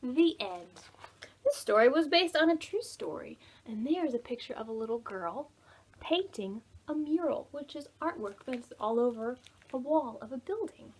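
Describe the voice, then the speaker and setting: A woman hosting a preschool storytime, telling stories to young children in an expressive way, with drawn-out words and soft, hushed phrases. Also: high-pitched, breathy edge. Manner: addresses children directly with simple questions.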